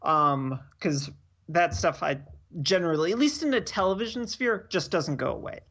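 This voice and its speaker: A woman's voice: on the low side, expressive, normal speed, fairly bright tone, lo-fi sound. A woman in a casual TV discussion, talking in a hesitant, searching way with dry opinions. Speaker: podcast co-host.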